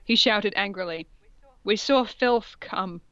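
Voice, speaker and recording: A woman's voice: medium pitch, takes it slowly, pushed and bright, no room sound, lo-fi sound. A woman co-hosting a comedic read-aloud, amused and breaking off for mocking asides. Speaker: co-host reading fan fiction aloud.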